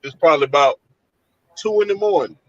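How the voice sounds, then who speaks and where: Storyteller, slightly high male voice, dry muffled call audio, colloquial and animated. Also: brisk, no echo. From Georgia. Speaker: guest telling an encounter story. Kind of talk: casual storytelling over a call. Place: sitting in his van.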